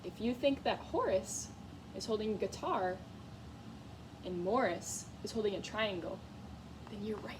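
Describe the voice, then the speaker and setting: A woman teacher giving a read-aloud lesson to young children in an expressive, encouraging way, often putting questions to her listeners. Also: mid-range voice, a little slow, pauses often, noisy background. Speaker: music teacher. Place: school classroom, in a reading corner.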